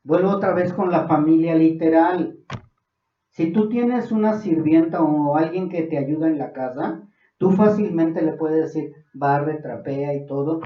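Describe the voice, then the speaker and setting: Mexican male preacher in an echoey hall. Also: fairly high pitch. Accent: Mexican Spanish. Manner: earnest, rising to fervent emphasis. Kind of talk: Bible study sermon. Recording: distant, dull, echoey room.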